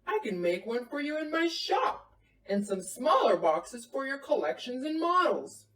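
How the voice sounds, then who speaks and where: Woman as firm papa bear, slow and animated. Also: high-pitched, full character voice. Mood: helpful, eager. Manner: emphatic, deliberate. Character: grown father bear, firm, reasonable head of family. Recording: roomy, distant from the mic.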